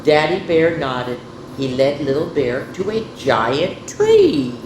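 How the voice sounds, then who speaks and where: Female children's story host: slightly low, unhurried, expressive, chatty read-aloud, roomy recording with faint noise. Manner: expressive, addressing young children directly. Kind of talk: picture-book read-aloud with casual asides.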